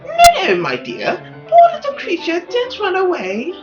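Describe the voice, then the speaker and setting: A man's voice: very high-pitched, huge pitch swings, a little slow, reverberant, highs cut off, loud music behind the voice, voice pushed up, exaggerated voice. A man voicing a haughty, mocking aristocratic lady with an affected, condescending drawl in a visual novel.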